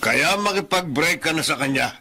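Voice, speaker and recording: Sharp, domineering older father. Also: a little high, animated, normal speed, a little hiss or hum, full character voice. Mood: stern, scornful. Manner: imperious, scornful. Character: domineering, haughty older father, law firm boss. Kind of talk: Filipino radio drama acting.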